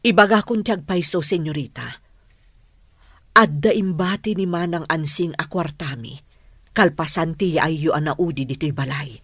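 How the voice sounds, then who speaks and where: Husky, nosy maid. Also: female voice, low voice, normal speed, fairly bright tone, dry recording, studio quality. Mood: inquisitive. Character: nosy, gossiping household servant woman. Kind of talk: radio drama acting.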